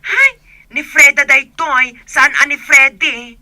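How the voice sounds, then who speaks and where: A woman's voice: high-pitched, lively intonation, measured pace, bright, forceful tone, no room sound, full character voice. A woman acting an envious, teasing friend on a call in a radio drama, with an Ilocano accent.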